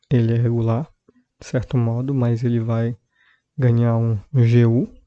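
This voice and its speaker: A man with a Brazilian Portuguese accent, hosting a podcast language lesson and explaining verbs calmly and patiently, repeating example sentences. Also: mid-range voice, no echo, dull audio. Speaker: podcast host and language teacher.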